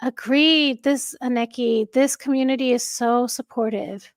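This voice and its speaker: A woman hosting a livestream, chatting casually with a soft, calming voice and reading out viewer comments. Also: on the high side, unhurried, dry recording, studio quality. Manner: chatty, warm thanks to viewers, frequent fillers and light laughs. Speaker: livestream host. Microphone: home streaming microphone.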